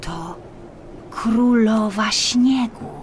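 A woman reading a scripted children's story as an audiobook narrator, with expressive, deliberate phrasing. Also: fairly high pitch, slight background noise. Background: music.

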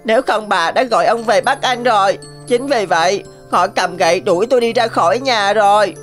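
A woman's voice: medium pitch, normal speed, close to an everyday voice. A woman voicing a sweetly deceitful, vengeful young rabbit in a cartoon. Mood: pleading. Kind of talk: cartoon voice acting. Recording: faint music behind.

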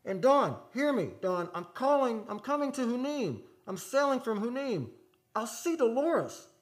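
An actor playing a haunted, lovelorn seafarer in a radio play, with theatrical, poetic delivery. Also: male voice, extremely high pitch, sing-song, a little slow, heavily acted. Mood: desperate, calling. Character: Gunnar, lovelorn haunted seafaring man, brooding and desperate. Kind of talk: old-time radio drama acting. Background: music behind the voice.

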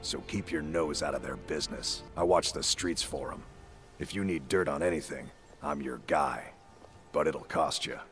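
Fantasy game thug, extremely low voice, menacing, taunting, music behind. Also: male voice, moderate pace, fairly bright tone. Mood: menacing, boastful. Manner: intimidating, taunting. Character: menacing male street thug, enforcer and informant. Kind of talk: video game character dialogue.

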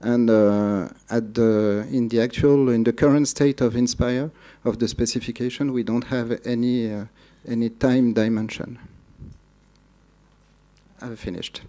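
Hesitant man with a mellow voice. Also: mid-range voice, fairly even intonation, a little slow. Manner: hesitant, many uh fillers and restarts. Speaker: conference speaker. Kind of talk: conference Q&A answers. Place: large dark conference hall.

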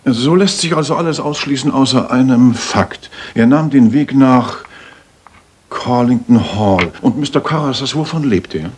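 An actor playing a brisk, self-assured master detective in a German radio drama, with precise, probing questions and a husky voice. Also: male voice, medium pitch, a little hiss or hum, close to an everyday voice. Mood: brisk, deliberate.

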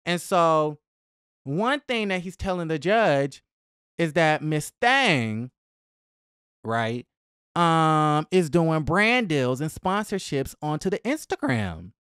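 A man's voice: on the high side, big pitch swings, slow pace, fairly bright tone, clean tone, dry recording. A young man giving casual, conversational celebrity gossip commentary in a sassy, emphatic, slangy way, close on a podcast microphone in a small room at home. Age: young adult. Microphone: close boom-arm podcast microphone.

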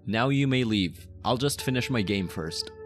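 A man's voice: medium pitch, lightly acted. A man playing a possessive, menacing yet playful mafia boss in an ASMR roleplay, with a teasing, theatrical delivery. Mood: calm, amused. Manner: slow teasing, sinister chuckles, theatrical.

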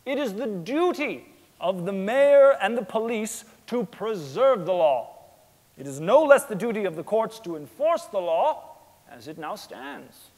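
A man's voice: sits high, sing-song, measured pace, darkish tone, heavily acted. A young man playing a formal, insistent man of law in a theatrical courtroom reading, in a theater. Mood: solemn, declarative. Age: young adult. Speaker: student actor in a staged dramatic reading. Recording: echoey theater hall.

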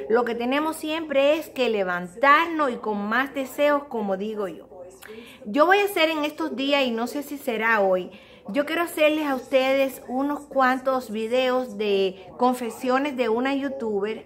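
A middle-aged woman with a Cuban accent speaks in a fairly high voice. She talks casually and earnestly, straight to her viewers, as if confiding and giving advice. It is recorded on a phone held at arm's length in a living room. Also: unhurried. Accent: Cuban Spanish. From Cuba. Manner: earnest, addresses viewers directly, motherly advice. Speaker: vlogger. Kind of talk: casual confessional chat to camera. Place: small living room at home.

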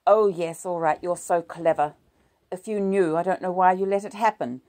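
A woman voicing a distraught mother who turns sharp and accusing, her voice yelping into shouts. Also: expressive, measured pace. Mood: bitter, pleading. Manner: shrill, breaking into yells.

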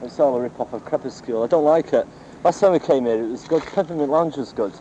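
A man's voice: mid-range voice, fast talker, dark tone, lo-fi sound. A young Manchester man talking backstage after a gig. He is blunt and teasing and swears casually. His voice sits mid-range, and a faint hiss from an old cassette tape runs underneath. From England. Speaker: drummer in a band. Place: nightclub backstage.

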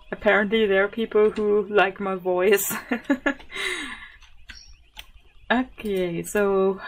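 Slow, soft-spoken woman with a sore throat. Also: medium pitch, creaky. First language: German. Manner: soft-spoken, thinks aloud with many fillers and little laughs. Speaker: gaming channel host. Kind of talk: casual gameplay commentary.

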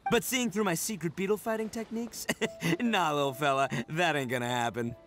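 A man's voice: a little high, fairly quick, fairly bright tone, faint music behind, close to an everyday voice. A man voicing a brash, boastful, big-hearted young oni gang boss in a video game, cocky and slangy.

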